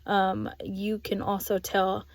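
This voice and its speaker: Woman, Texas accent, thirties, flat even delivery, dry close sound, lots of ums. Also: medium pitch, no room sound. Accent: Texan. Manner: thoughtful, halting, frequent ums. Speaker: ESL aide. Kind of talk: spoken reflection on a course video.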